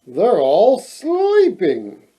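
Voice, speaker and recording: A man voicing a gentle, wonderstruck young prince in a fairy tale, speaking earnestly and drawing out his words. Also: high voice, huge pitch swings, very slow, breathy edge, pitched above the performer's own voice, full character voice. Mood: awed.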